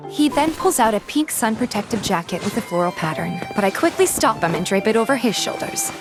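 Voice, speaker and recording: A woman's voice: on the high side, very animated, fairly quick. Girl acting young game heroine, first person narration, bit petulant but fond, music behind her. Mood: narrating, grumbling. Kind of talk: first-person story narration with acted dialogue.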